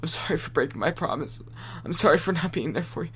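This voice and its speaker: Guy doing anguished remorseful young man, audio drama, tense bright voice, pleading, faint hum behind. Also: fairly high pitch, expressive, fast. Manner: urgent, pleading, emotional delivery.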